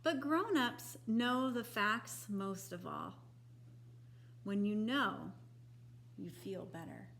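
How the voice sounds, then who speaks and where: A woman reading a children's picture book aloud in a deliberate, expressive, instructive way for young listeners. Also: mid-range voice, creaky.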